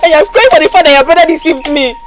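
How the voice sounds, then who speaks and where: Casting: actress as jilted young Nigerian woman, high quick speech, bitter film-drama delivery. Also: a crowd in the background, natural voice. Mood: aggrieved.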